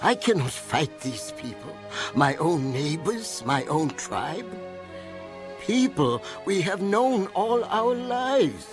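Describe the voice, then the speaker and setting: Casting: an old clan elder, slow, lively inflection, earnest and steadfast. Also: male voice, a little high. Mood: pleading. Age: older. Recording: animated film with music behind.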